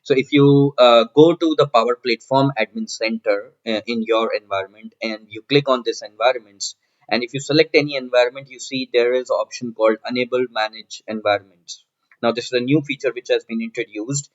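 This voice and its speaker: A man's voice: medium pitch, steady tone, a little fast. Man presenting, slightly muffled sound. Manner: explanatory, step by step, repeating phrases. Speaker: tech tutorial presenter. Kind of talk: screen-share software walkthrough narration. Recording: dull, lo-fi microphone sound.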